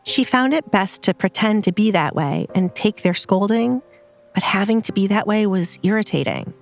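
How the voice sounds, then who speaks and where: A woman's voice: medium pitch, animated, normal speed, vocal fry, faint music behind. A woman reading scripted story narration as the narrator of an audio drama.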